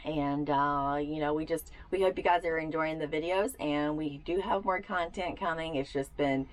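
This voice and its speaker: A woman's voice: deep voice, moderate pace. A woman co-hosting a camper vlog, chatting casually to camera from inside a small camper, with lots of fillers and restarts. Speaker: co-host of a camper solar install channel.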